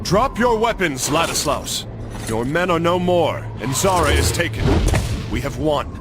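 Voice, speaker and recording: An actor playing a resolute crusader knight in a video game, speaking in a commanding, earnest way. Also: male voice, on the high side, expressive, measured pace. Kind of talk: dramatic video game cutscene acting. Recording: dramatic music behind the voice.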